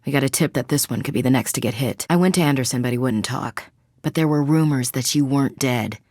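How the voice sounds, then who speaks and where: A woman's voice: a bass voice, fast, a little mellow, no echo, clean studio sound. An actress playing a blunt, tough young female marine soldier in a video game, loyal and direct. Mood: guarded. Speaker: soldier squadmate. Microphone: close studio mic.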